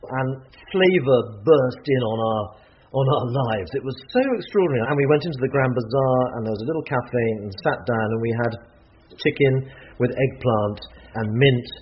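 A man's voice: medium pitch, expressive, moderate pace, muffled recording, slight background noise. An English man, an author, talking enthusiastically and chattily while cooking in a home kitchen. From England. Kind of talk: casual interview talk while cooking.

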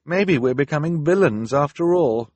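A man's voice: a little high, lively intonation, normal speed, a little mellow, no echo, voice pushed up. A man voicing an earnest, inventive teenage girl, an anxious older sister in an audiobook, explaining things carefully. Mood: uneasy. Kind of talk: audiobook character acting.

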